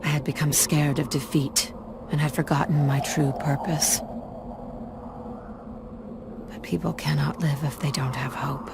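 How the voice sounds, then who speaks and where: A woman's voice: sits low, level tone, unhurried, pauses often. A woman acting a haunted, determined warrior in a video game, curt and intense with a defiant edge. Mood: resolute, reflective. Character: embittered, determined female warrior, haunted but resolute. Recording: clear background noise and ambience.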